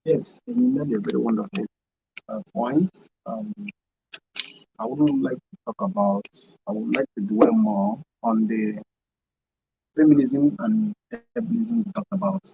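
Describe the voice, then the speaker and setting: A man's voice: mid-range voice, very animated, measured pace, creaky, warm tone, a little hiss or hum. A man speaking as a guest in a radio discussion, hesitant and searching for words. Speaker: panel guest. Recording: dull lo-fi dry recording.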